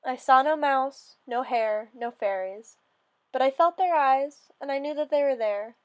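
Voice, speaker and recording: A woman with a fairly high voice reads a storybook tale aloud. Her delivery is theatrical and expressive, in the manner of a dramatic storyteller narrating in the first person. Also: a little slow, muffled recording. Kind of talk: dramatic reading of a fairy story.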